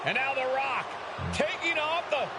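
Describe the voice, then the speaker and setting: A man acting as an excited wrestling play-by-play announcer in a video game, shouting dramatic calls over loud noise. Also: extremely high pitch, normal speed. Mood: excited, amazed. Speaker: wrestling commentator. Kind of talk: excited play-by-play sports commentary.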